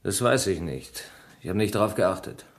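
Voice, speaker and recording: An embittered young man from a village in a radio drama. He is grudging and defensive, and his voice sits fairly low. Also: animated, moderate pace. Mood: guarded. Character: bitter young village man with crippled leg, evasive. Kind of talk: German radio play acting.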